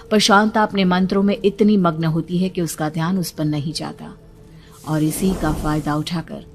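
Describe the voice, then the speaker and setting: A woman with a slightly low voice tells a horror tale as scripted narration. Her delivery is dramatic, and clear music runs under the voice.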